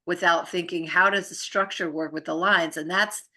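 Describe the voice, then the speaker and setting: A woman's voice: on the low side, fairly even intonation, normal speed, sharp tone. A woman explaining things in casual conversation, in an earnest, informal, rambling way with frequent check-in phrases.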